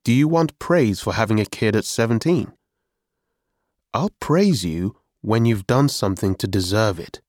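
A man's voice: lowish voice, expressive, unhurried, a little mellow, dry recording, clean studio sound. A man voicing a stubborn, tired middle-aged father, critical but caring, in an audiobook story. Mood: firm. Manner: weary, firm, matter-of-fact.

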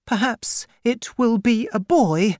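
A nervous young widowed mother speaks softly and timidly, close to tears. Her voice is lifted higher than the reader's own, as a woman reading aloud acts her out. The sound is close and dry. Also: animated, slow, no echo. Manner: soft, timid. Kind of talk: storybook reading.

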